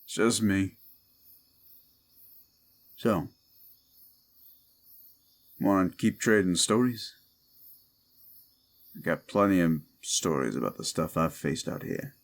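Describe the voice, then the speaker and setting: A man playing a gruff, wisecracking, unflappable demon paranormal detective in a roleplay audio drama, with a laconic, dry delivery. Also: low-pitched, slow, long pauses, exaggerated voice. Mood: relaxed, reassuring.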